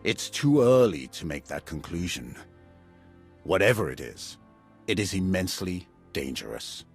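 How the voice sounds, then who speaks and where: Old Jedi veteran, low-pitched, slow, solemn, weary, game cutscene acting, music underneath. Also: male voice, very animated, heavily acted. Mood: grave, solemn. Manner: solemn, measured, weighty.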